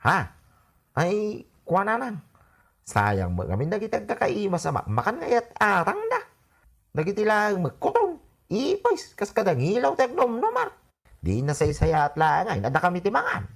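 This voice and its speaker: A man's voice: high voice, wildly swooping pitch, moderate pace, vocal fry, heavily acted. A man with an Ilocano accent playing a cheeky, stubborn, wisecracking husband in a comedy radio drama. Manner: wisecracking, exclamatory, argumentative. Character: cheeky, stubborn husband and grown son, joking glutton. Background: faint music.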